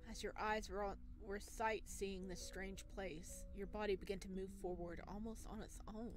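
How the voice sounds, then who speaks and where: A woman reading a visual novel aloud as a let's player, expressive, with casual chatty reactions. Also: medium pitch, a little hiss or hum, rough recording. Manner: expressive, exclaims and chats between read passages. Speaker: video game let's player.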